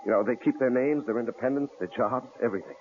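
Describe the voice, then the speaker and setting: An actor playing a timid young husband in an old radio drama, speaking in halting, hesitant phrases. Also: male voice, mid-range voice, lightly acted. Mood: uneasy. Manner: hesitant, halting, full of uh's. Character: timid, polite young male engineer husband. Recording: tinny old radio broadcast, faint hiss.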